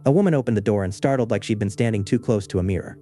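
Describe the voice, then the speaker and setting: A man narrating a scripted first-person horror story in a measured, understated way. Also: lowish voice, mellow, soft background music.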